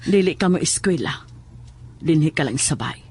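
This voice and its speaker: A woman's voice: low-pitched, animated, moderate pace, noisy background. A woman with a Filipino accent playing a protective, tender but firm mother in an audio drama. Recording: loud music under the voice. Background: loud music.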